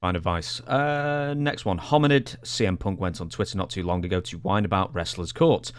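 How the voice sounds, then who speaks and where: A man's voice: deep voice, normal speed, clear, resonant tone. A man co-hosting a podcast, casually putting questions to his guest. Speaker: podcast co-host reading listener questions. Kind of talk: casual interviewing.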